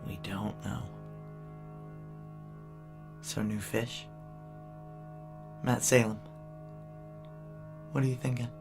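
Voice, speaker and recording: An actress playing a tough, wary female security chief in a noir science fiction audio drama, speaking in a clipped, deadpan, commanding way. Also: rumbling low, slow pace, long pauses, music in the background, exaggerated voice. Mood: low, wary.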